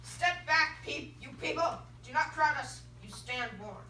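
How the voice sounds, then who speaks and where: A teenage girl acting indignant, commanding colonial figures loudly in a school dramatic reading. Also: high voice, expressive, unhurried, heavily acted. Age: young teenager. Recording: echoey classroom, far from mic, some noise.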